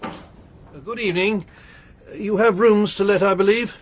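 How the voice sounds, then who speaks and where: An actor playing a tall, gaunt, secretive gentleman lodger who speaks in halting, hesitant phrases in a radio drama. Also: sits high, steady tone. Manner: hesitant, halting with small pauses.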